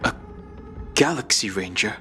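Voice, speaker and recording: Stern, bright-voiced protector. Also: male voice, on the low side, lively intonation. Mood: stern, wary. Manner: formal, measured, firm. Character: stern, composed, principled protector. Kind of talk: video game cutscene acting. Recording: background music.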